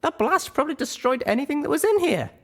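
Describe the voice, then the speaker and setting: A man plays a young pony girl from a fantasy audiobook, pitched very high. She is curious and eager, quick to defend herself, with a lively swing in her voice. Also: exaggerated voice. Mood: protesting. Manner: eager, protesting. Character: Little Pip, young female pony, curious, defensive adventurer.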